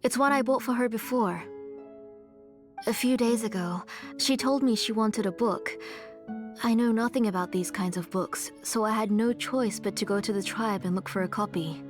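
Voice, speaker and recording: A woman playing a caring but stern older auntie in a video game, measured and earnest. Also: on the high side, over music, lightly acted. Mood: explaining. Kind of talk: video game dialogue acting.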